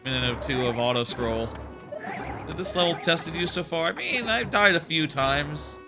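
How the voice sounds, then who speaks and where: Sniffly guy rambling thru a level, kinda high voice, loud game music under him. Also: expressive, normal speed. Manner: rambling, chatty, many fillers and restarts. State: sniffly, slightly sore throat. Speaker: streamer. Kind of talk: casual gameplay commentary. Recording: game music playing behind.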